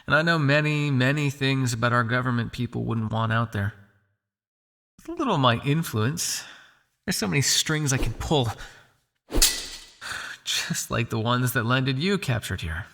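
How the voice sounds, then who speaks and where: Casting: male actor as smug vampire underworld lord, condescending and possessive, gravelly mid-pitched voice, intimate ASMR roleplay delivery. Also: animated, moderate pace, long pauses. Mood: smug, probing. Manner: taunting, silky, condescending with sighs and soft shushing.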